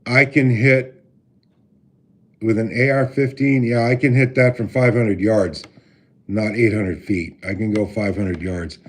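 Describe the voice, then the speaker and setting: A man with a deep voice hosting a casual livestream, greeting viewers by name and talking with many halting filler pauses. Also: steady tone. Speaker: livestream host. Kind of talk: casual live stream chat with viewers. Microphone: laptop mic.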